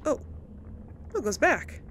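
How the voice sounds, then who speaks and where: A woman's voice: a little slow, background noise. A woman hosting a casual video game let's play, thinking aloud as she goes. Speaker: gameplay host. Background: video game sounds.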